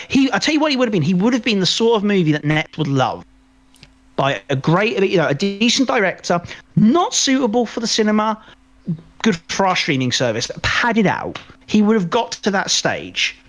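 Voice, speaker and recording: A man co-hosting a podcast in casual film review chat, rambling and halting as he repeats words mid-sentence. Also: fairly high pitch. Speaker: podcast co-host.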